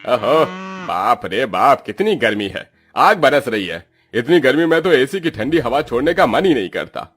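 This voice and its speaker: A rude and lazy rich landlord from a moral-story cartoon, acted by a man. He talks in a gruff, haughty, bullying way, pushed up and loud, over background music. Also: mid-range voice, big pitch swings, normal speed, pitched above the performer's own voice. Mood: complaining.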